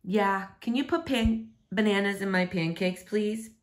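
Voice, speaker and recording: A woman's voice: mid-range voice, unhurried, lightly acted. Storybook role: a woman as a complaining boy, sullen and pleading with a parent. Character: sullen, protesting boy starting high school soon.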